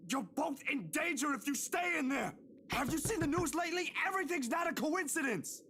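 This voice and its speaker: A woman's voice: high voice, lively intonation, fairly quick, sharp tone, pitched above the performer's own voice, exaggerated voice. Earnest young investigator, woman reading, urgent pleading lines, faint background hiss.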